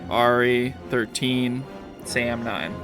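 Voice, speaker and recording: A man's voice: mid-range voice, level tone, very slow. A man acting as game master, narrating a tabletop roleplaying game in an informal, matter-of-fact way. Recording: music behind the voice. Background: music.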